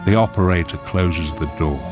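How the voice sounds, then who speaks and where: A man narrating a radio drama, reading scripted scene descriptions in a measured, descriptive way. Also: low-pitched, loud music behind the voice. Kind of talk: scripted screenplay narration in present tense.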